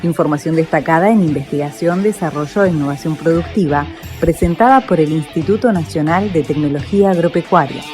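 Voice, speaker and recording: Female announcer, low voice, scripted podcast intro over clear guitar music. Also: a little fast, few pauses.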